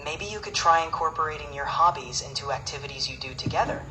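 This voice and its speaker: A calm, even-toned synthetic assistant voice that gives advice in smooth, scripted replies. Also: mid-range voice, fast, recorded from a distance, slight background noise, low-quality recording. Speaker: AI chat assistant.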